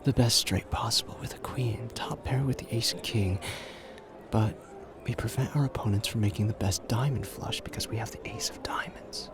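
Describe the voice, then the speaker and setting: A man's voice: lowish voice, lightly acted. A man playing a suave, flirtatious, confident gambler in an ASMR roleplay audio drama, teasing and intimate in the way he talks. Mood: coaxing, intimate. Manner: teasing, intimate, drawn-out phrasing with pet names.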